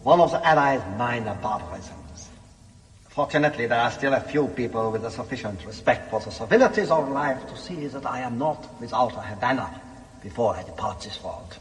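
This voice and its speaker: Guy playing smug condemned german commander, suave n condescending, mid pitch, big echoey cell reverb. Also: expressive, brisk, close to an everyday voice. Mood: suave, disdainful. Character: arrogant, urbane condemned German military leader. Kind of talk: radio drama acting. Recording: long reverb of a stone cell.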